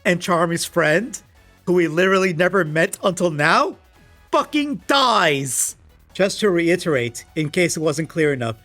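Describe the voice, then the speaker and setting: Male video essayist, higher-pitched, wry and exasperated, casual scripted comedy commentary with faint music underneath. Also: a bit bright. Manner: sarcastic, exasperated; casual, swearing. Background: background music.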